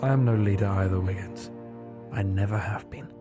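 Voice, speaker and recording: A man playing a grave, self-doubting gentleman detective in an audio drama, formal and solemn. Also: lowish voice, moderate pace, natural voice. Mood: remorseful. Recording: music under the voice.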